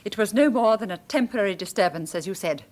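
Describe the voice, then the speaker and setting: A woman's voice: medium pitch, expressive, quick pace, darkish tone, close to an everyday voice. An actress playing a villain's devoted, resolute female accomplice in a radio drama, speaking formally and earnestly. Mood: resolute devotion.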